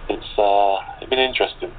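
A man's voice: fairly low pitch, moderate pace, a little hiss or hum. A man who works as a radio play narrator and actor. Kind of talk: scripted narration and casual interview chat. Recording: muffled narrow phone-like audio.

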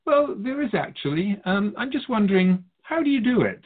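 Man playing a husky, lilting otherworldly sage spirit. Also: high voice, big pitch swings, normal speed. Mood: curious, gentle. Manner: musing, formal, gently wry, philosophical. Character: Keeper of Souls Purpose, wise curious otherworldly being. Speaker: spirit guide being interviewed. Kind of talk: radio drama dialogue. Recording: muffled phone-like narrow band.

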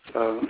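A middle-aged man from Bulgaria with a Bulgarian accent, giving halting, slow answers with many fillers as an interview guest. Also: medium pitch, breathy edge. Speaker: guest artist. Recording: tinny phone line.

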